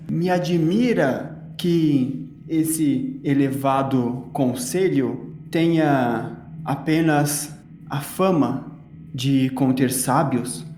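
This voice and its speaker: Homem fazendo um duque indignado, pausado, com eco. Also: male voice, medium pitch, darkish tone, lightly acted. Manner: formal, halting, emphatic. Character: indignant, proud duke defying a council of sages. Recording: echoey room with faint noise.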